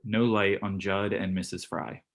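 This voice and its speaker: Male narrator for stage directions, deep voice, scripted delivery. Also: fairly even intonation, unhurried.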